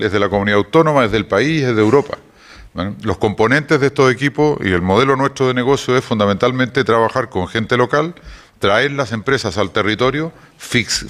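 Low-voiced Chilean man explaining. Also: fairly bright tone. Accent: Chilean with Portuguese touches. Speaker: energy company executive guest. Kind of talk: radio interview answers.

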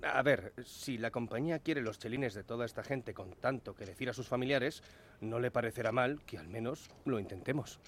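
An actor playing a keen, stubborn young telegraph operator of the 1910s in a radio drama. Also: male voice, medium pitch, moderate pace, slight background noise, lightly acted. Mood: determined. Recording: music behind the voice.

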